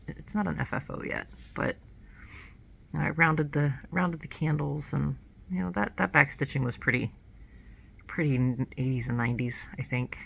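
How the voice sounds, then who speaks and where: A woman's voice: low voice, vocal fry, fairly bright tone, a little hiss or hum. A woman in her thirties chatting casually about cross stitch to camera at home, a bit nasal from a cold and rambling with small self-corrections. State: nasal, getting over a cold. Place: small indoor room at home.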